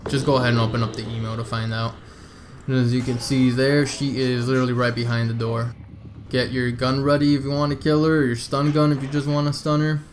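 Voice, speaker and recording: A man's voice: mid-range voice, level tone, a little fast, a little hiss or hum. A man giving a casual, step-by-step video game walkthrough, talking informally and directly to his viewers. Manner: informal, addresses viewers as "you guys".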